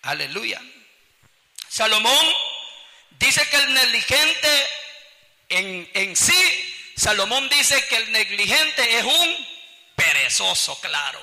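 A male evangelical preacher with a Salvadoran accent delivers an exhorting, colloquial sermon. His voice sits extremely high and is very sharp and forceful. Long reverb from a large hall trails his words. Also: sing-song, slow, creaky, pauses often. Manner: exhortative, colloquial, rhetorical questions. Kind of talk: impassioned church sermon with scripture teaching.

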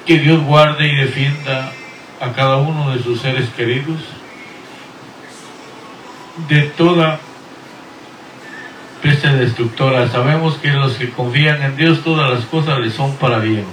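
A middle-aged man, a pastor, preaching and sending drawn-out, earnest blessings and greetings over the radio. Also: mid-range voice, fairly even intonation, a bit bright, slight background noise. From Guatemala. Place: Houston, Texas. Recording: echoey room, voice from far off the mic.

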